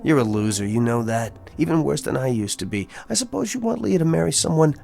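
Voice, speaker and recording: An actor playing a brash self-made young suitor in a TV drama, boastful and needling under a false courtesy. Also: male voice, mid-range voice, big pitch swings, a little fast, creaky, dark tone. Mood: menacing, scornful. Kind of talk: dramatic acting in a TV anthology drama.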